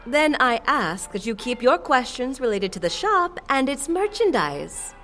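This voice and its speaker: A woman's voice: high voice, sing-song, a little slow, fairly bright tone, faint music behind, exaggerated voice. A woman playing a playful, sultry half-demon shopkeeper in an audio drama, selling with coy, theatrical flourishes. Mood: haughty, teasing. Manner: coy, theatrical saleswoman with chuckles and purring flourishes.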